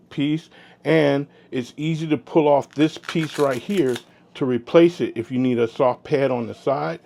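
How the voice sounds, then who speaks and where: A man giving a casual product review, explaining informally in short, halting phrases. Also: mid-range voice, gravelly, darkish tone, no room sound. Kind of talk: casual gear review vlog.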